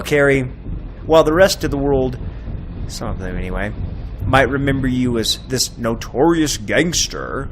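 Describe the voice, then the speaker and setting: A man voicing a sinister, gloating devil in a horror story, mocking with drawn-out questions and sudden swells. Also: medium pitch, close to an everyday voice. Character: sinister taunting devil, gloating master collecting a soul. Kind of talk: creepypasta villain monologue.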